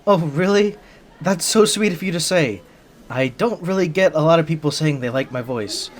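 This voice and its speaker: A man's voice: fairly high pitch, animated, moderate pace, close to an everyday voice. A man playing a shy, flustered young bunny-boy hybrid in an ASMR roleplay, stammering and hesitant, earnest and sweet as he speaks to the listener. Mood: flattered, bashful.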